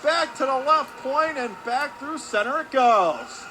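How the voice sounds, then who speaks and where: A high-voiced man works as a radio hockey announcer, calling the play live in short, clipped phrases. His delivery is excitable. Loud arena noise runs behind his voice throughout. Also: a little slow. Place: indoor ice hockey arena. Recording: loud ambient rink noise.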